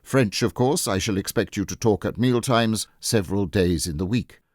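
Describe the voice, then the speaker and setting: A man's voice: fairly low pitch, normal speed, a little mellow, no echo, clean studio sound, close to an everyday voice. A man reading the part of a pompous, fussy, autocratic but easily flustered wealthy Edwardian matron in a storybook. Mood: pompous, instructing. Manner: pompous, fussily insistent.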